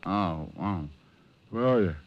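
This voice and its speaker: An actor playing a glib, sardonic hardboiled detective in the style of 1940s radio drama. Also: male voice, sits low, expressive, takes it slowly, dry recording, highs cut off. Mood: flippant. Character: wisecracking hardboiled male private detective, flip and cocky. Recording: vintage radio drama with music.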